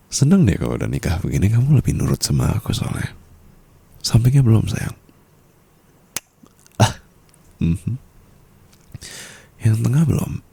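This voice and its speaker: A man playing a dominant, doting rich husband in an intimate ASMR roleplay, teasing in a breathy murmur with soft chuckles. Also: on the low side, huge pitch swings, a little slow, pauses often, heavily acted. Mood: playful, coaxing.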